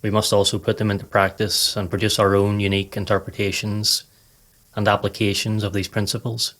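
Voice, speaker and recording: A man narrating a scripted guided meditation in a calm, measured, instructive way. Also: lowish voice. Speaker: podcast host and meditation guide.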